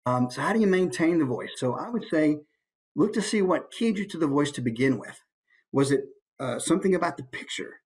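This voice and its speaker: A man's voice: on the high side, lively intonation, brisk, darkish tone, breathy edge, rough recording. Male voice coach, informal teaching delivery, a touch of huskiness in the tone. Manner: demonstrates points with brief put-on voices. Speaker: voice actor giving a coaching presentation. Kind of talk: informal instructional presentation.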